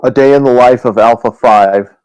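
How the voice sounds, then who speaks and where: A man's voice: mid-range voice, normal speed, darkish tone, smooth, dry recording, poor audio. A man chatting casually as a voice actor on a group panel over an online voice call.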